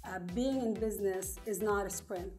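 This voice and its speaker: A woman's voice: mid-range voice, normal speed, darkish tone. Middle-aged Lebanese woman chatting over music. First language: Arabic and French. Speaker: interview guest, engineer turned small business owner. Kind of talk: conversational personal storytelling.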